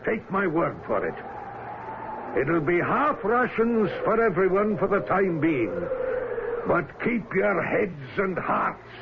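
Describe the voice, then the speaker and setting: An actor playing a commanding, enigmatic ship's captain in a vintage radio drama, with an emphatic, theatrical delivery. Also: male voice, high voice, very animated, takes it slowly, gravelly, exaggerated voice. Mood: rousing. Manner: theatrical, emphatic, speaking with authority. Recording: tinny, very noisy old radio broadcast.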